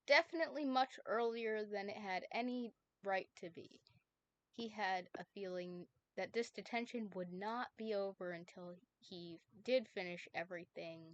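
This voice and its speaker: A woman reading fan fiction aloud on a stream in an informal, chatty way. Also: mid-range voice. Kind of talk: informal live story reading with banter.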